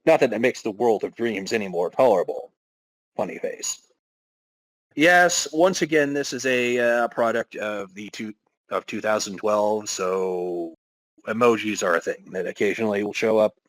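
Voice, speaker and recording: Low-pitched man reading dramatically, close and dry. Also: moderate pace, dry recording, rough recording. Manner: wry, self-interrupting commentary. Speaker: podcast host. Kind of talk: dramatic reading with casual asides.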